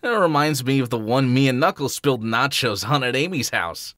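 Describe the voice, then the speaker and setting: A man's voice: medium pitch, animated, brisk, fairly bright tone, no room sound, studio quality, natural voice. A man voicing a cocky, joking male hedgehog hero in a fan audio drama. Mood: breezy. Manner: breezy, joking.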